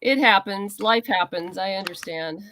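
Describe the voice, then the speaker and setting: A woman's voice: mid-range voice, moderate pace, fairly bright tone, a little hiss or hum. A woman being interviewed as a writer, giving explanatory, conversational answers.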